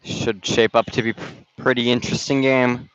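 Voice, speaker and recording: A young man doing amateur play-by-play for a volleyball game over a headset, his voice slightly raspy, casual and halting with restarts. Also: mid-range voice, level tone, fairly bright tone, no room sound. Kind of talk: amateur sports commentary. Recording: dull, dry gym-stream audio.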